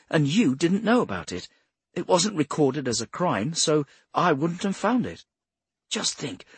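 A man's voice: on the high side, slightly rough, no room sound, pitched above the performer's own voice, exaggerated voice. A man voicing an earnest, brainy young woman analyst who explains things precisely and insistently in an audiobook.

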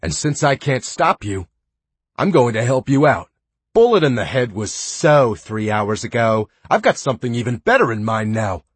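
A man's voice: on the low side, animated, moderate pace, slightly rough, no room sound. A man voicing an obsessive, violent young man who growls and spits threats. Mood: menacing.

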